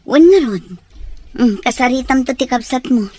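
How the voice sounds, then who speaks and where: Concerned Ilocano auntie, acted by a woman, high voice, dry recording over faint music. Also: animated, moderate pace, dark tone, no echo. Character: hospitable, concerned aunt. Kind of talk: Ilocano audio drama acting.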